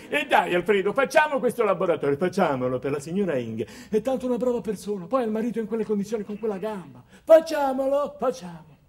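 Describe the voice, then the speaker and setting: A pushy, gushing do-gooder from a hobby club in a radio comedy. His voice sits high for a man, and he repeats phrases insistently. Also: sing-song, quick pace, few pauses, a little mellow. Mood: coaxing. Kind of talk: comic radio drama dialogue.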